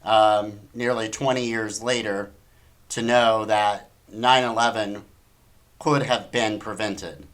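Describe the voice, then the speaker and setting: Male talk host, mid-pitched, emphatic and incredulous, riffing on news with pointed rhetorical questions. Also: fairly bright tone. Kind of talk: opinionated news commentary, reading articles aloud.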